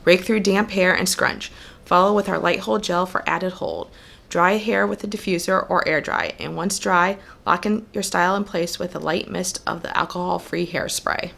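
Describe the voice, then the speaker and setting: A woman with a hoarse, cold-roughened voice that sits low and carries a gravelly fry. She talks in a chatty, methodical way as a hair vlogger, and she reads product labels aloud. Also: fairly even intonation, normal speed, fairly bright tone. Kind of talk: casual product review while styling.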